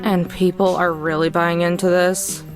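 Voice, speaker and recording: A woman's voice: fairly low pitch, little pitch movement, normal speed, music in the background, lightly acted. A woman playing a sensible young traveler in a visual novel, skeptical, dry and pointed.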